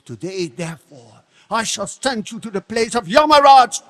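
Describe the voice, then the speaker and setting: Tyrant demon king: theatrical, imperious, wrathful, very high and volatile, in a reverberant hall. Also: expressive, normal speed. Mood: furious, menacing. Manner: imperious, theatrical, swinging from menacing hush to shouts. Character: enraged tyrannical demon king, arrogant father. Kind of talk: dramatic scripture reading on stage.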